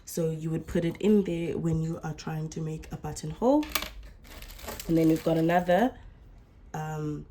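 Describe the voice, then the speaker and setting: Woman, mellow voice, slightly unwell. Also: low-pitched, moderate pace, pauses often, a little hiss or hum. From South Africa. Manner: chatty, self-correcting explainer. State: slightly unwell, coming down with flu. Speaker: sewing channel host. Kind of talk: casual tutorial.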